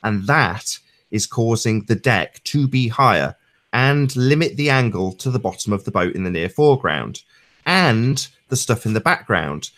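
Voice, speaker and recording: A man's voice: on the low side, expressive, a little slow, a bit bright. A man on a discussion panel, speaking emphatically in wordy, technical phrases during an informal debate.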